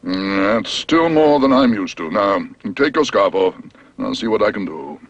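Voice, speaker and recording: A man voicing a fussy, pompous middle-aged male dentist in a storybook reading, speaking slowly and deliberately. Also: on the low side, animated, no room sound, dull audio. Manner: slow, measured, pompous.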